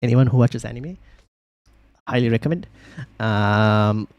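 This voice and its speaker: A man's voice: medium pitch, measured pace, clean tone, dry recording, muffled recording. A man hosting a casual fantasy football live stream, reading viewer comments aloud and thinking through team choices with lots of fillers and restarts.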